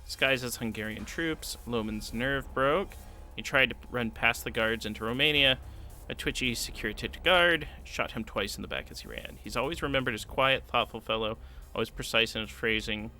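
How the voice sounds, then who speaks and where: A man's voice: medium pitch, moderate pace, sharp tone. A man preparing his tabletop campaign on a stream, thinking aloud and reading long rulebook passages, now and then humming to himself. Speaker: game master prepping a campaign. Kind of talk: casual solo prep stream, reading rules aloud. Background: background music.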